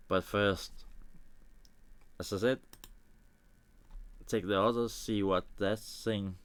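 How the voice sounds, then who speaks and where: A man from Denmark with a Danish accent and Danish as his first language, thinking aloud in halting English with small grammar slips as he walks through editing a photo on a live stream. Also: sits low, fairly bright tone, smooth. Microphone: desk microphone.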